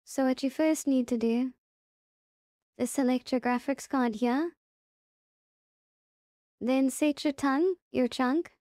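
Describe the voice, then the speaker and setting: A woman with a fairly high but very soft-edged, mellow voice talks through a software tutorial. She is casual and plain-spoken, taking the listener through it step by step. The sound is dry and close, with little trace of a room. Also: steady tone, slow, long pauses, dry recording. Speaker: tutorial presenter.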